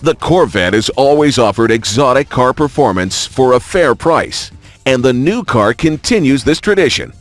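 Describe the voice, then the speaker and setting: A man reading scripted documentary narration in a polished, measured announcer style. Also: medium pitch, animated. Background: music.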